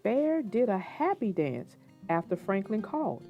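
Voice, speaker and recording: Female children's read-aloud narrator: lively pitch swings, unhurried pace, plucked guitar music behind. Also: muffled recording. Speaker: host and storybook narrator.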